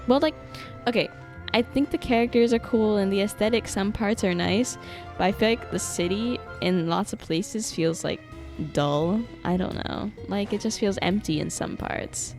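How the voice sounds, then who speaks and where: Animated, sarcastic woman streaming a game. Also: mid-range voice, normal speed. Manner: playfully sarcastic, sweary, reactive. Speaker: live streamer. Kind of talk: casual game livestream commentary, chatting with viewers. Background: game music.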